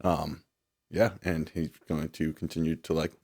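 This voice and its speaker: A man's voice: a bass voice, level tone, normal speed, a little mellow. A young man co-hosting a faith podcast, talking easily and thinking aloud with frequent agreeing yeahs. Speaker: podcast co-host. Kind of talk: casual chat.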